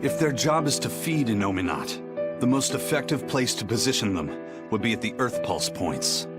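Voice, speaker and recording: Actor as a methodical man, mid-pitched. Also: very animated, moderate pace, close to an everyday voice. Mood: explaining. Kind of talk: video game cutscene voice acting. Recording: loud game music behind voice.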